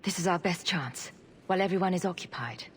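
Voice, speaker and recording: A woman playing a calm, diplomatic adventurer in a video game, speaking gently with light teasing. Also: lowish voice, brisk, slight background noise, lightly acted. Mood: composed. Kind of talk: video game dialogue acting.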